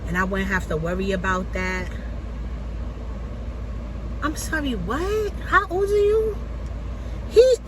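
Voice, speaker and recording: A woman in her forties vlogs from her car, chatty and slangy with lots of animation. Her voice sounds congested and nasal from a cold, at a middle pitch. Street and traffic noise comes through clearly behind her. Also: slow pace, pauses often. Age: 40s. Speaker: vlogger. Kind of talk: casual chat to viewers. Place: in a parked car.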